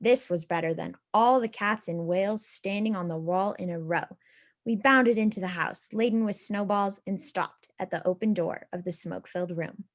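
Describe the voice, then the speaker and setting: Woman telling a story, mid-pitched voice. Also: normal speed. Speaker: reader in a church readers theatre. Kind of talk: expressive literary storytelling reading.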